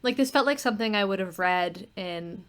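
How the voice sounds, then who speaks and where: A woman with a mid-range voice speaks as a podcast co-host and director. She talks informally, as part of a relaxed round-table chat. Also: medium pitch, a little fast.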